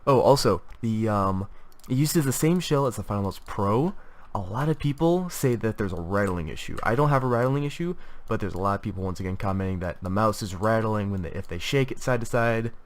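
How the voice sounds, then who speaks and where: A young man with a cold talks through his complaints about gaming gear, his voice stuffy and sitting a little low. He rambles casually, full of fillers and false starts. Keyboard typing is heard behind him. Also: expressive, fairly quick. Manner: rambling, many fillers and restarts. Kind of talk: casual product review talk.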